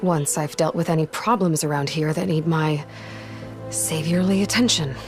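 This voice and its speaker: A woman's voice: low voice, fairly even intonation, moderate pace, soft background music, lightly acted. A woman playing a blunt, wry, determined young warrior heroine in a video game, dry and no-nonsense. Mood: wry, firm. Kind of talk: video game character acting.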